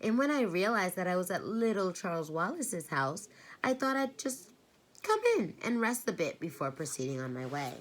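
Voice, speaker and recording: A woman reading aloud and voicing an eccentric old woman tramp with a creaky, gate-like voice. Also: fairly low pitch, very animated, moderate pace, voice pushed up, rough recording. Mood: genteel.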